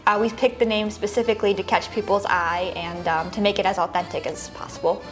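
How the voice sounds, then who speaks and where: An American woman explains things informally in a conversational interview. Her pitch is middling, and music plays clearly behind her. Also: steady tone, fairly quick, poor audio. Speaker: small bakery co-owner being interviewed.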